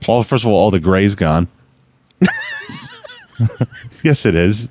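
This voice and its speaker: A man's voice: lowish voice, takes it slowly, noisy background. A man in his forties, a contractor hosting a podcast, chatting casually and laughing often. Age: 40s. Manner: laughs often, animated, teasing.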